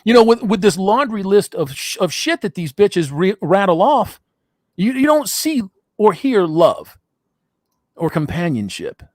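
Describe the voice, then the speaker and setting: A blunt, profane middle-aged man hosting a call-in podcast, his voice a touch higher than most. He laughs easily as he talks. The sound is dry and close, with no room around it. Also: very animated, a little slow, darkish tone, dry recording. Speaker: podcast host. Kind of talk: casual call-in chat.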